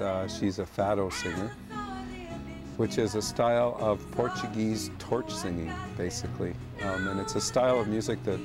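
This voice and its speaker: A middle-aged man, a festival organizer, talking in an outdoor interview in a plain manner with fillers. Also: fairly low pitch. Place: outdoors among trees.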